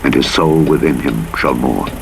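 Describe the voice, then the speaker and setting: A man narrating the Bible as an audiobook, in a solemn, formal, measured and dramatic reading style. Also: extremely low pitch, vocal fry. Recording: playback under loud truck engine noise. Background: truck engine and vehicle noise from a driving game.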